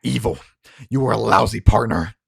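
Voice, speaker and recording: A man voicing a bitter, dying admiral in a tabletop game, forceful and profane, spitting contempt. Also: on the low side, moderate pace, gravelly, slightly rough, full character voice. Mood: furious contempt.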